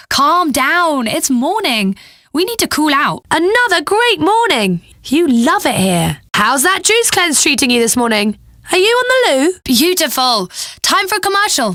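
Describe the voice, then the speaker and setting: High, bright, cheeky British radio DJ. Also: female voice, dry recording, clean studio sound, heavily acted. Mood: upbeat, teasing. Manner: breezy, sardonic, playful. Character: flippant, bubbly young woman pop radio DJ, mockingly cheeky. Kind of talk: scripted pop radio DJ patter.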